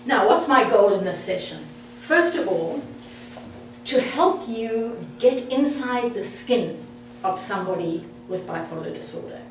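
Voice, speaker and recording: A woman's voice: medium pitch, lively intonation, a little slow. A South African woman presenting to an audience in a meeting room, speaking in a direct and friendly way. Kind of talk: interactive talk to an audience. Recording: echoey room, far from the mic, faint hum.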